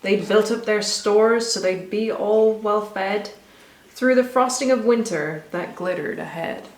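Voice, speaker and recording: A woman reading a rhyming children's story aloud with expressive, rhythmic delivery. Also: medium pitch, a little slow. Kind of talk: scripted storybook narration for children. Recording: echoey room.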